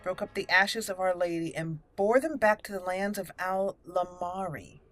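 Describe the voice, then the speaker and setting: A woman's voice: lowish voice, moderate pace, fairly bright tone. Woman roleplaying through a game over music. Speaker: gameplay host. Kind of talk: let's play commentary with in-character roleplay. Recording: music behind the voice.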